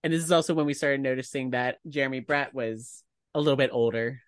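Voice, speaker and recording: A man's voice: mid-range voice, steady tone, fast, smooth, no echo. Man chatting casually, dry close recording. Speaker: podcast co-host. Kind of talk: casual discussion.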